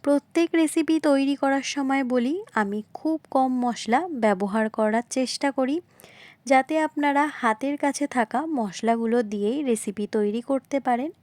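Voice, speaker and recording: A woman with a high voice gives a recipe voice-over. She explains each point politely, often restating it, and speaks straight to her viewers. The sound is close and dry. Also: moderate pace, a little mellow, dry recording. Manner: polite, repetitive explaining, addressing viewers directly. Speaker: home cooking video host. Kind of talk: recipe narration, instructional voice-over.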